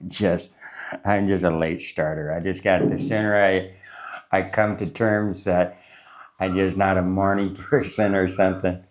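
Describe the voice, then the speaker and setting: A man's voice: low-pitched, steady tone, slow. A man in his forties from the United States, congested from a cold, rambling casually through a morning travel vlog with many fillers and restarts, recorded on a lapel mic. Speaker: travel vlogger. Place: small courtyard room with a concrete wall, indoors. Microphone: clip-on lapel mic.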